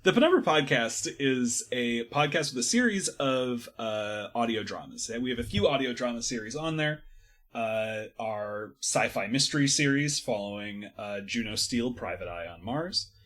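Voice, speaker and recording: A man's voice: medium pitch, lively intonation, moderate pace, a bit bright. A man chatting casually as a podcast guest, with dry humor. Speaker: podcast guest, audio drama creator. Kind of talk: casual chat.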